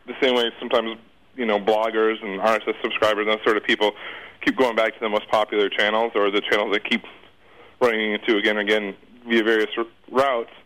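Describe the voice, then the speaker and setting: A man's voice: lowish voice, a bit bright, rough recording. A man giving casual interview answers as a guest, informal and rambling in the way he talks.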